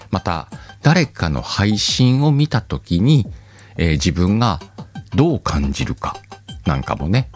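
A man's voice: low-pitched, darkish tone. A man hosting a podcast in casual solo talk, chatty and teasing, often chuckling to himself. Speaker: podcast host.

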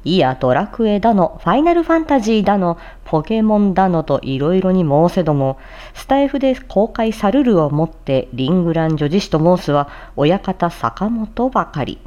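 Woman with mellow, very lively intonation. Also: lowish voice, moderate pace, lo-fi sound. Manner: articulation-focused, demonstrating and explaining tricky phrases. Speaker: voice performer presenting a practice show. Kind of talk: casual explanatory talk with formal script recitation.

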